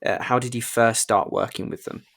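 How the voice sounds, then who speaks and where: A man's voice: medium pitch, steady tone, fairly quick, gravelly, fairly bright tone. A man hosting a casual interview, talking in an enthusiastic, fannish way. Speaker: interview host.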